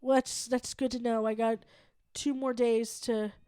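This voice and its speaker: Woman, 30. Loose, rambling comedy co-host who laughs and swears. Higher voice, dry close recording. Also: level tone, unhurried, mellow, smooth, no echo. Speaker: podcast co-host. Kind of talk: casual comedy chat.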